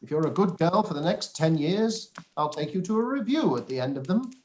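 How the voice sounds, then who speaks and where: A man playing a weary, dryly sardonic older country gentleman and father in a radio play. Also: fairly high pitch, animated, close to an everyday voice. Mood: stern, sardonic. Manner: dry, wry, deliberate. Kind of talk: radio play live read.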